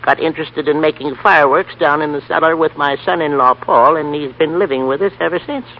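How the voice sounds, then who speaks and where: A man's voice: on the high side, fairly quick, highs cut off, lightly acted. An actor playing a carefree old grandfather, folksy, plain-spoken and easygoing, in a 1930s radio play. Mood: friendly, easygoing.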